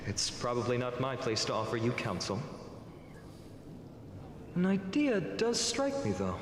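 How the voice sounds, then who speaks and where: An actor playing a calm, teasing young heroic man with a measured, poetic delivery in a video game. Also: medium pitch, reverberant, in a large hall, slight background noise. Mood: wry, teasing. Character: calm, wry young heroic man who teases his rival.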